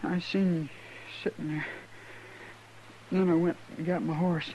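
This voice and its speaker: An American actor playing a dying, poor teenage boy gunman in an old radio western, halting, weak and rustic in his speech. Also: fairly high pitch, level tone, dark tone, lightly acted. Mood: weak, desperate. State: weak, fading. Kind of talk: old-time radio drama acting. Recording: muffled, noisy old radio recording.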